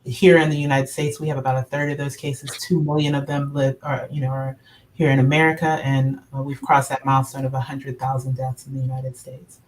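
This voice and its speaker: A woman's voice: extremely low pitch, flat delivery, a little fast, slight background noise, poor audio. A woman from Baton Rouge giving case figures on a panel, matter-of-fact. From Baton Rouge, Louisiana. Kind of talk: panel discussion.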